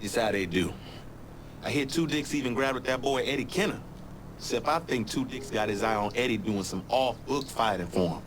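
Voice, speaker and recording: A man's voice: medium pitch, brisk, close to an everyday voice. A young boxer with a loose, streetwise way of talking , played by an actor. His delivery is laid-back and slangy. Faint ambient noise sits in the background. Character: streetwise young male boxer under pressure.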